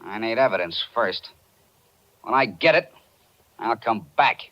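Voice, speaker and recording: An actor playing a blunt, suspicious American police detective, brisk and accusing in 1940s radio style. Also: male voice, lowish voice, expressive. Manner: brisk, accusing, hard-nosed. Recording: muffled 1940s radio recording.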